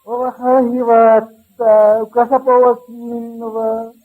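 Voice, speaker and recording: Man acting a very high-pitched, wailing mourner. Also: level tone, slow, exaggerated voice. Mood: mournful. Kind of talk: radio drama acting. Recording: narrow phone-like muffled recording.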